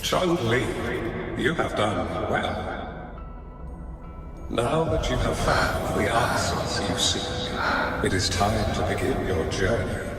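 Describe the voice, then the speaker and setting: A man playing a wise, solemn master in a video game, with measured, formal and archaic speech. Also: lowish voice, expressive, far from the mic. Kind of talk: dramatic video game voice acting. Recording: long echoing reverb under loud music.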